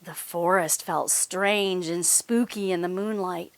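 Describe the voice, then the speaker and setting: A woman's voice: lowish voice, steady tone, slow, dry recording. A woman hosting a children's story time, reading aloud and chatting encouragingly with simple questions for young listeners. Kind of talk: children's read-aloud and chat.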